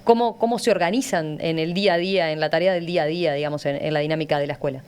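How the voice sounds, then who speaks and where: A woman's voice: sits low, racing, darkish tone, smooth. A woman with a Uruguayan accent hosting a conversational radio interview from a studio. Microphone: studio microphone.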